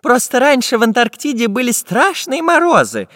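A man voicing a fussy old male professor in a high, earnest, lecturing voice. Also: huge pitch swings, normal speed, a bit bright, no room sound, studio quality, big-room sound, full character voice. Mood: explaining.

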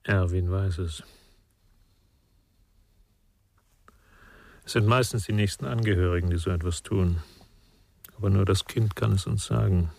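An actor playing a gentle, melancholy man in a radio drama, speaking with subdued patience. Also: sits low, fairly quick, gravelly. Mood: grave.

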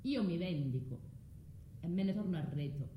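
An actress with a southern Italian accent playing a proud, vengeful, scorned foreign wife in a declamatory radio drama monologue. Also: female voice, lowish voice, expressive, normal speed, roomy sound, muffled recording, a little hiss or hum, natural voice. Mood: resentful.

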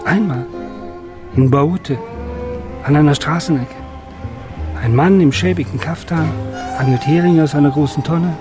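An actor playing a dignified, nostalgic old Polish war survivor and photographer in a radio drama, reminiscing in a measured way. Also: male voice, fairly high pitch, over music, close to an everyday voice.

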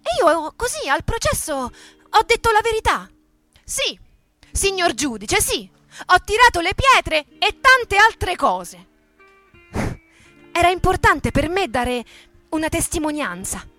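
A woman playing an earnest ageing male metalworker who recalls his militant youth in a halting, conversational, emphatic way, as a radio theatre monologue. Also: very high voice, big pitch swings, pushed and bright, no room sound, faint music behind, voice pushed up, exaggerated voice. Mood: proud, emphatic.

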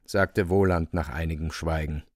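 A man's voice: very deep voice, fairly even intonation, normal speed, no echo, studio quality. A man narrating a literary radio drama in a scripted storytelling style.

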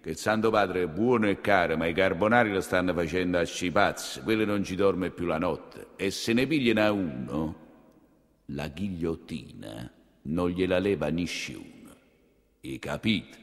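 Cardinale romano dalla voce grave. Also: male voice, low voice, animated. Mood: stern warning. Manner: slow, insinuating, admonishing. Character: shrewd, worldly 19th-century Roman cardinal, quietly menacing. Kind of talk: theatrical dialogue in dialect. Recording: long reverberant hall, faint music.

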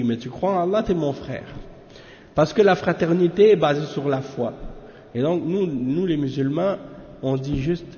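A man teaches in a relaxed, familiar way, often turning to his listeners with quick asides. His voice sits a little high and sounds mellow. The recording carries a long room echo and a dull, lo-fi quality. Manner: casual, familiar talk with frequent "hein?" asides. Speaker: religious teacher. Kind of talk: lesson explaining a commentary.

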